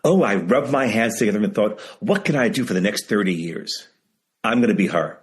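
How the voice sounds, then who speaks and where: A man's voice: fairly low pitch, animated, brisk, slightly rough. A seventy-year-old man talking candidly as an interview guest, emphatic and repeating phrases for stress. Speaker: guest, author and writing teacher. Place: video call.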